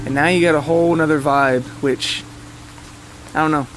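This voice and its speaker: A man's voice: a little high, steady tone, normal speed, over music, low-quality recording. A man hosting a podcast in a casual, rambling monologue, informal, hesitant and self-deprecating. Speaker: podcast host.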